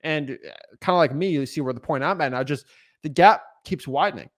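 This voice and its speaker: A young man in his twenties talks straight into a podcast mic in a small home studio, his voice sitting a little high. He pushes hard, circling back to the same questions with lots of "right?" and "like.". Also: fairly quick. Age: 20s. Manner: intense, repeats himself, frequent "right?" and "like". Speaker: real estate wholesaling coach. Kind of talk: unscripted motivational talk to camera. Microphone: podcast mic on boom arm in front.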